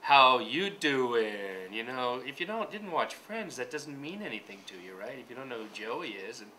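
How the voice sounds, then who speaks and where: A man's voice: medium pitch, very animated, normal speed, very noisy. A man who is a teacher, speaking earnestly and reflectively in a documentary interview.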